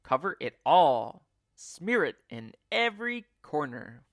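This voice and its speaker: A man's voice: on the high side, sing-song, takes it slowly, creaky, a bit bright, dry recording, studio quality, heavily acted. A man voicing a frantic, bossy monkey father in a children's storybook, urgent and commanding. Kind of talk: children's storybook character reading.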